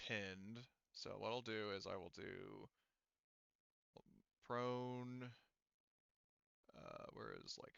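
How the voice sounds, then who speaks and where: A man's voice: fairly low pitch, gravelly, fairly bright tone, clean tone, no echo. A man acting as game master, narrating a tabletop game in a casual, rambling, informal way. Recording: dry, slightly muffled voice mic.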